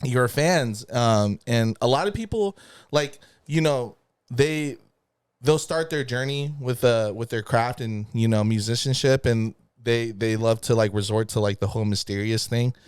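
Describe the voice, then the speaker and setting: A man hosting a podcast interview, speaking slowly and hesitantly with many fillers. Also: medium pitch, clean tone, dry recording. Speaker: podcast host.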